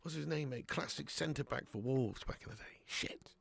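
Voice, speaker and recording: A man hosting a live fan watch-along of a football match at home, chatting to viewers with sweary banter and bursting into loud cheering at chances. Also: mid-range voice, normal speed, gravelly, breathy edge. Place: at home in front of a camera.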